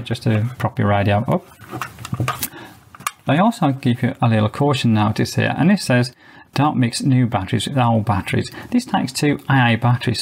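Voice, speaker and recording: A man's voice: medium pitch, vocal fry, darkish tone, slight background noise. A man reviewing a small shortwave radio, explaining each feature and step methodically as host of his own channel. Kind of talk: product review walkthrough. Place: indoors.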